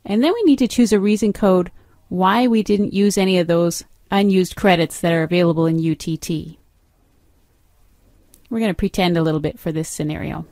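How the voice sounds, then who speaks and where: A woman narrating an instructional software demo as a trainer, explaining step by step in an instructive way. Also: lowish voice, moderate pace, no echo.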